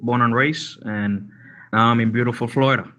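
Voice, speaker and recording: A man's voice: mid-range voice, fairly even intonation, moderate pace, pushed and bright, muffled recording. A man from Cuba introducing himself on a panel in a friendly, casual way. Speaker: panelist, realtor. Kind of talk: conversational self-introduction.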